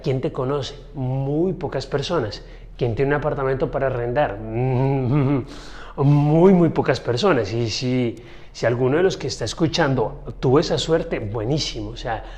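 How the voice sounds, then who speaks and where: A man talks through his own podcast in a loose, chatty monologue. He speaks directly to his listeners and shares personal stories in a reflective tone. His pitch sits in a middle range for a man. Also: darkish tone. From Colombia. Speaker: podcast host. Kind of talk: casual solo podcast monologue.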